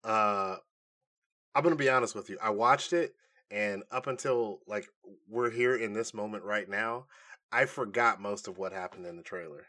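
A man's voice: on the low side, normal speed, bright, forceful tone. A man co-hosting a podcast, talking in a casual, informal and conversational way about entertainment. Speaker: co-host of a nerd news podcast. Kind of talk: casual chat.

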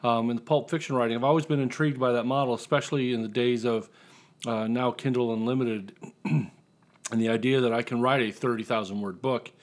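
Mid-pitched man chatting as podcast guest. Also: steady tone, a little fast. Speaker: podcast guest, writer and marketer. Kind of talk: casual interview chat.

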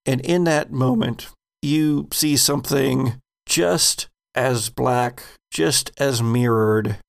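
American man, game master, heavy vocal fry, unhurried, suspenseful horror narration, deliberate storytelling. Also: medium pitch.

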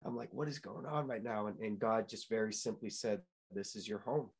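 Young guy from new england, kinda low voice, earnest, lots of ums and restarts. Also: brisk, husky. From New England, United States. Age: young adult. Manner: earnest storyteller, many fillers and restarts. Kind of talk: casual interview chat.

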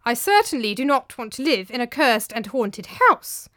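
A woman acts a superstitious old master in a storybook tale. He is stubborn and gullible, blustering emphatically in a bright, high voice. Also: very animated, moderate pace, no room sound, studio quality. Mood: uneasy. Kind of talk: dramatised storytelling.

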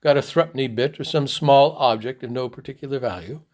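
A man's voice: medium pitch, a little fast, a little mellow, natural voice. Man playing a self-important young mystic. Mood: solemn. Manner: earnest, formal.

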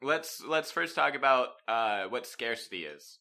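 Bright-toned joking male co-host. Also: mid-range voice, expressive, unhurried, creaky. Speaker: podcast co-host. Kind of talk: casual discussion podcast.

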